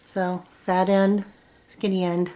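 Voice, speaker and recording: A woman's voice: fairly low pitch, completely flat delivery, slow, mellow, rough recording. Middle aged lady doing a casual how-to at home, plain spoken, bit of noise on the camera mic. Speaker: farmer giving a how-to demonstration. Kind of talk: casual step-by-step tutorial. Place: indoors at a wooden table in a home.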